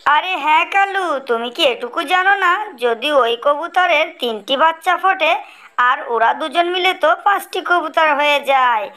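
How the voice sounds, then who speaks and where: A woman's voice: high voice, expressive, moderate pace, pushed and bright, pitched above the performer's own voice. A woman voicing a jealous, scheming female crow in a children's cartoon, complaining and insinuating.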